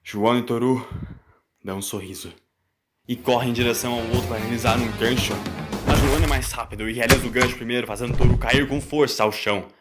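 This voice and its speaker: A man's voice: medium pitch, fairly even intonation, quick pace. A man with a Brazilian accent, narrating a scripted story in a dramatic style. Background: music.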